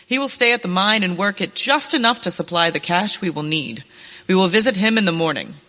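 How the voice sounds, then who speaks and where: A woman's voice: mid-range voice, expressive, brisk, bright, forceful tone. A woman playing a determined young rancher turned masked avenger in a western radio play, speaking earnestly and theatrically. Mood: earnest, planning. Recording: reverberant hall, stage performance.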